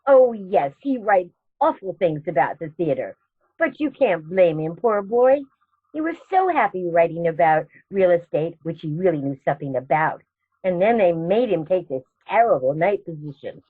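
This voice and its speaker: Woman acting elderly aunt, sweet, genteel, fussy, chatty, lively intonation, radio comedy, slightly muffled recording. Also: on the low side, normal speed, breathy edge.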